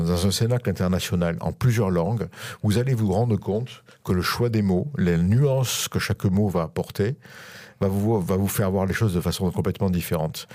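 A man's voice: deep voice, warm tone. A middle-aged man from France, speaking as an interview guest, hesitant with many fillers and restarts. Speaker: guest, school director. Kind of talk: interview on radio.

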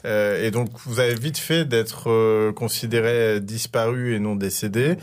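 A man's voice: on the low side, steady tone, unhurried. A man, an author and interview guest, explaining at length with frequent hesitations. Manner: fluent explanatory, many "euh" fillers. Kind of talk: radio interview.

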